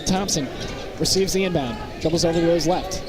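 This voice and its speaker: A man doing live play-by-play commentary of a basketball game from a high school gym. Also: fairly quick. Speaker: play-by-play announcer. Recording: loud crowd and gym noise. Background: basketball crowd, bouncing balls.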